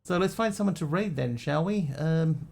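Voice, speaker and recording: A man's voice: on the high side, lively intonation, normal speed, gravelly, a little mellow. A man who grew up in Australia, chatting casually to his stream audience in a rambling, hesitant way with lots of ums and restarts. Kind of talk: casual live-stream chat.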